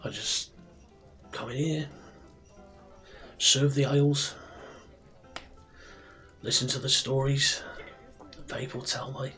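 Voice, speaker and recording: A man playing a friendly, proud tavern barkeep in a fantasy ASMR roleplay, speaking softly and breathily, often near a whisper, in an unhurried, rambling way. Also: mid-range voice, fairly even intonation. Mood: gentle, chatty, proud. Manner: unhurried, chatty, rambling with pauses. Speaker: roleplay performer. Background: soft music and tavern ambience.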